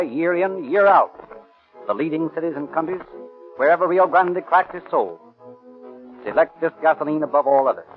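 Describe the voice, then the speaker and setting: An American man works as a 1930s radio announcer, reading formally and declaiming like a salesman. His voice is fairly high. The recording is thin and phone-like, with some music.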